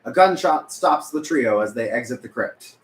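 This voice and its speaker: A man's voice: mid-range voice, lively intonation, moderate pace. Echoey male narrator of a screenplay. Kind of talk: scripted narration at a table read. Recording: echoey room, faint background noise.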